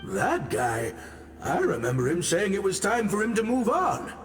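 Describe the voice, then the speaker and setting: A man's voice: high-pitched, wildly swooping pitch, moderate pace, music in the background. A man voicing a casual, friendly townsman in a video game.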